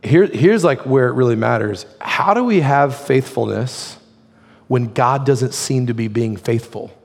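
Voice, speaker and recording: Hoarse man preaching in a reverberant hall. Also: mid-range voice, animated, unhurried. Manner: conversational, frequent "like" fillers. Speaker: pastor. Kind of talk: sermon.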